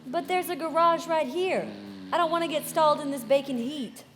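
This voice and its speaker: A woman's voice: sits high, moderate pace, lightly acted. An actress playing a cool, worldly young society woman, dry and sardonic, in a live radio play. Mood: dry, teasing. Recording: reverberant hall with clear background noise.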